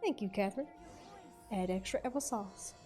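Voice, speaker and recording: A woman game streamer chatting informally and haltingly on a livestream, often repeating herself. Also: mid-range voice, lively intonation, warm tone, soft background music. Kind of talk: casual livestream chat.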